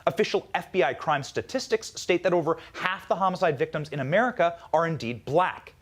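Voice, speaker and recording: A man delivering a scripted political speech in an emphatic manner with dramatic pauses. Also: a little high, animated.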